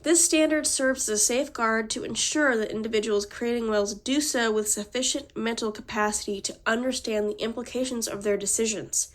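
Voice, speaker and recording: A woman's voice: on the high side, brisk, few pauses. A woman with a cold and a slightly nasal voice, reading out a prepared class presentation with small slips and restarts. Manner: reads formal text with small slips and restarts. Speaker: student presenter.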